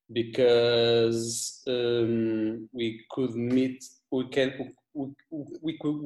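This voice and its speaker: Slow-talking Portuguese-accented man, echoey. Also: medium pitch, mellow. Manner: halting, many restarts and fillers. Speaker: video editor, guest. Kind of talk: casual interview chat.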